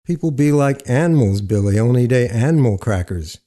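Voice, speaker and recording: A man's voice: mid-range voice, lively intonation, normal speed, mellow, natural voice. Man voicing wry streetwise prison inmate, colloquial. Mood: wry, knowing. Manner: vernacular, colloquial phrasing.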